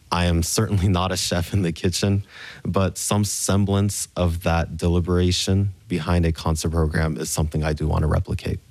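A man from the southern United States giving thoughtful, measured and articulate interview answers as a musician. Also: low-pitched, a little mellow, no echo. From Memphis, Tennessee, United States. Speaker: concert pianist being interviewed.

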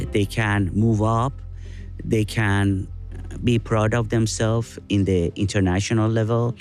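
An older man from Iran with an Iranian accent, speaking deliberately in an unscripted interview, pausing between phrases. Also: fairly low pitch, darkish tone. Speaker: interview guest, father of another guest.

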